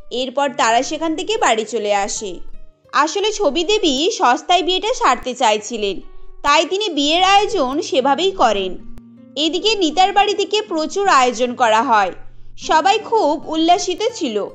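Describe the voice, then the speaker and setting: A woman narrating a scripted cartoon story. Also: sits high.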